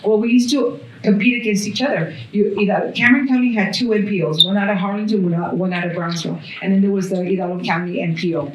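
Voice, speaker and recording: A woman's voice: medium pitch, fairly even intonation, fast, reverberant, far from the mic, a little hiss or hum, rough recording. A woman on a panel at a brunch, talking earnestly about regional cooperation and listing agencies and places. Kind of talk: panel discussion talk. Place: hotel event room at a brunch. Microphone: handheld mic passed along the panel.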